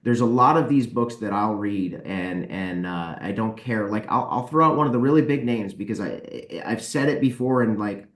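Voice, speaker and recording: Lower-pitched man in an echoey room. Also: expressive, normal speed, hardly any pauses. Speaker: podcast guest, book publisher and author. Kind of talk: casual opinionated panel chat. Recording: roomy, echoey sound.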